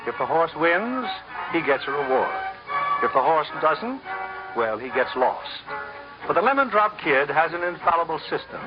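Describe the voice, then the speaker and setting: Casting: formal male presenter for old-time radio theater, slightly high voice, dulled broadcast sound. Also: sing-song, moderate pace, dull audio, music in the background. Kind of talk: formal scripted narration, 1950s radio.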